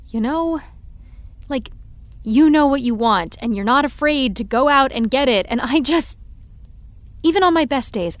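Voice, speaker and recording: Actress doing a nervous, rambling young woman, slightly high and slow, on a dry close mic. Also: dry recording. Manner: nervous, rambling, self-deprecating. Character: young woman, anxious and self-deprecating. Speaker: actor in audio drama.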